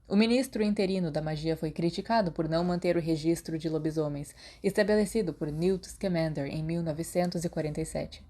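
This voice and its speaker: Mellow Brazilian Portuguese woman narrating. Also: low-pitched, brisk, hardly any pauses. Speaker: podfic narrator. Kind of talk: audiobook narration of fan fiction.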